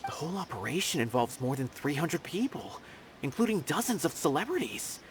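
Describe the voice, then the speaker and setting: A man's voice: on the high side, big pitch swings, a little fast, slight background noise. A man voicing an earnest, anxious, loyal junior investigator in a video game, speaking expressively. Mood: grave, troubled. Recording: background music.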